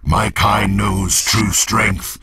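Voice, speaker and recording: An actor playing an imperious machine overlord speaking through a possessed body in a video game, harsh and disdainful in a declamatory style. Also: male voice, fairly low pitch, flat delivery, drawn-out words, very noisy. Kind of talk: dramatic video game acting.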